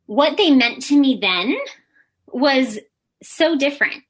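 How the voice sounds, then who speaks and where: A woman with a high-pitched voice, a Pilates teacher talking candidly as a podcast guest. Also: animated, slow pace. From United States. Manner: candid, self-deprecating, laughs often. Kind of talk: casual interview chat.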